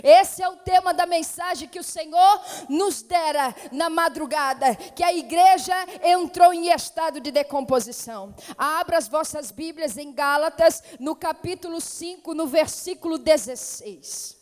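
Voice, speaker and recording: A woman with a São Paulo accent preaching a fervent Pentecostal sermon as a missionary, moving from calm greetings to loud exhortation. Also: high voice, a little slow. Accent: São Paulo (paulista). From São Paulo state, Brazil. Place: church hall. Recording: reverberant church hall.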